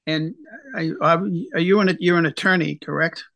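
A man's voice: fairly high pitch, steady tone, normal speed, gravelly, sharp tone, dry recording. A man hosting a radio talk show, asking questions in a casual, conversational way. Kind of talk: radio interview.

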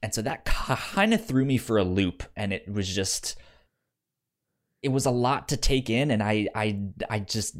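A man chatting casually as a podcast host. His pitch moves around a great deal, and he often restarts words and phrases in a halting, conversational way. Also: lively intonation.

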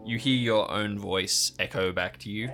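A man's voice: fairly low pitch, moderate pace, over music. A man narrating improvised, descriptive scenes as game master of an adventure podcast.

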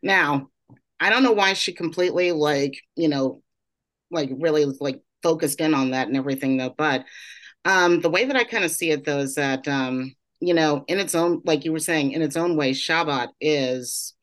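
Gravelly middle-aged woman with a cold. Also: low-pitched, normal speed. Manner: rambling, with frequent "like" and trailing "and everything". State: has a cold. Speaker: class participant. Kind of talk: casual spoken reflection in a discussion.

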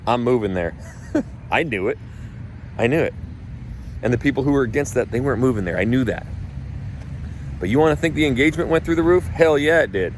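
A man's voice: medium pitch, animated, fairly quick, long pauses, a little hiss or hum. A man from North Idaho who coaches real estate agents, talking casually in a blunt, emphatic way and swearing freely. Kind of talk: casual talk.

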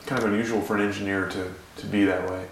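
A man's voice: lowish voice, level tone, quick pace. A man interviewing a winemaker, with short, encouraging prompts and questions, sitting away from the microphone. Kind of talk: oral history interview. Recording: roomy, distant, faint noise.

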